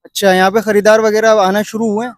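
A man in his thirties from Pakistan with a Karachi Urdu accent, filming a casual walkthrough of an outdoor cattle market on his phone and pressing sellers for lower prices. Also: level tone, fast talker, dry recording, studio quality. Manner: chatty, pushes for a lower price. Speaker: vlogger.

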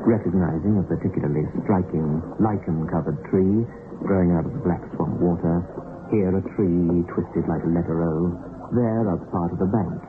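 Very deep male radio storyteller, phone-like sound. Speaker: radio play narrator. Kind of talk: scripted dramatic radio narration of a suspense story. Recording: phone-like narrow-band old radio recording. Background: background music.

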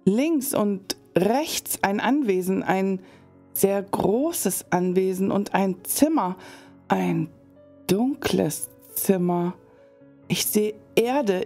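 A woman voicing Shirley, a mysterious older medium in an audio drama, speaking in slow, halting visions. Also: mid-range voice, gravelly, dark tone, faint music behind. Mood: trance. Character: mysterious older female fortune teller. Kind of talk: German fan audio drama.

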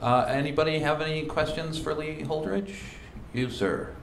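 A man's voice: fairly high pitch, steady tone, creaky. Hesitant male host in an echoey room. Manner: halting, with many fillers. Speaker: host and moderator. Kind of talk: moderating an audience Q&A. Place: event room in a shop with a seated audience. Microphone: handheld mic. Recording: echoey room with audible background noise.

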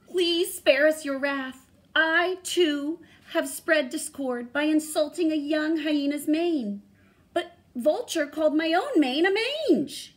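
A woman's voice: high voice, expressive, slow pace, voice pushed up. A woman voicing a pleading lion who speaks formally in a storybook reading. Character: proud lion pleading for mercy.

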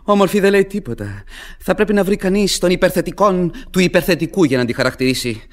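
A man's voice: high-pitched, animated. An actor playing a pompous, self-satisfied young man with grand theatrical manner in a radio play. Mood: mock-grave. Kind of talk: 1960s radio theatre acting.

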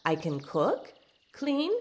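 Woman voicing a slow, tender old forest witch. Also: fairly low pitch, sing-song, a little hiss or hum. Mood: gentle, pleading. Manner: sighing, gleeful, tender. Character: kindly old female forest witch posing as babushka, wistful. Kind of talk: storybook read-aloud.

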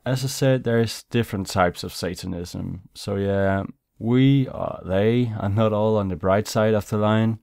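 A young man with Danish as his first language chats in English in a relaxed, casual way, often tossing in "man" and "you know." His voice sits low and smooth. It is recorded close and dry, with no room sound. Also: unhurried, dry recording. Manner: laid-back, chatty, says "man" and "you know". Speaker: YouTuber. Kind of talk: casual commentary over gameplay.

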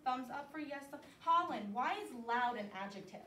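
A woman teaching a class of young children, lively and coaxing, with call-and-response cues. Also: high-pitched, moderate pace. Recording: echoey classroom from far off, light noise.

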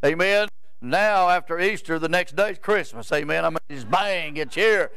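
A man's voice: a little high, normal speed, fairly bright tone, clean tone, no room sound, clean studio sound. A man, preaching a rambling, folksy sermon in a church sanctuary and often stopping to ask "Amen?". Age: 70s. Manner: rambling, repeats words, asks "Amen?" of the crowd.

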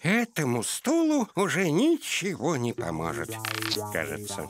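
A man voicing a big, slow, gloomy male animal in a children's cartoon, ponderous and mournful. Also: fairly high pitch, huge pitch swings. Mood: glum, resigned. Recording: music behind the voice.

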